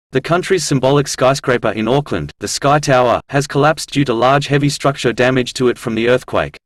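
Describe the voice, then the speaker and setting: A man reading a scripted news report in a formal, measured way. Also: medium pitch, dry recording.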